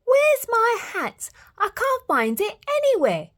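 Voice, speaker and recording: A woman voicing a panicky, dim little yellow chick in a storybook, with a squeaky, squawking voice. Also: wildly swooping pitch, slow pace, full character voice. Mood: fretful.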